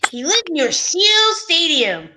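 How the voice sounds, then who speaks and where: A woman's voice: very high-pitched, very animated, slow pace, noisy background, heavily acted. A woman playing an easygoing male homicide inspector, an old pal of the detective, in an amateur old-time radio drama. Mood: familiar.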